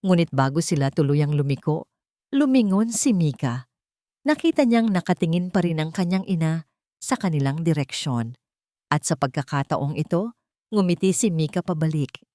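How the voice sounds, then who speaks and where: Expressive woman narrating a story. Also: low-pitched, normal speed, dry recording, studio quality. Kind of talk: scripted romance story narration.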